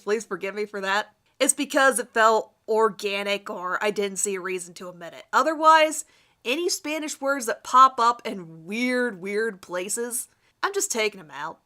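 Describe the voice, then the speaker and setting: A woman's voice: fairly high pitch, bright, forceful tone. A woman casually introducing a dramatic reading of her own writing, sarcastic and self-mocking. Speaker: fan fiction author and reader.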